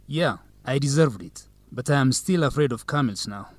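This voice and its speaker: A man's voice: mid-range voice, animated, moderate pace, no room sound, natural voice. Charming young man played by an actor, dry sound. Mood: playful. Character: Zegai, charming young Ethiopian man courting on a date. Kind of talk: radio drama acting.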